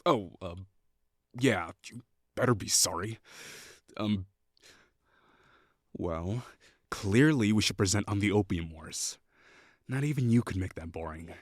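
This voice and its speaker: A man's voice: on the low side, animated, a little slow, long pauses, dry recording, studio quality. A man playing a cutting, sarcastic college perfectionist. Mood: cold, condescending. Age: young adult. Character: prickly perfectionist young male classmate, condescending. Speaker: voice actor in an audio roleplay. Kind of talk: scripted roleplay acting.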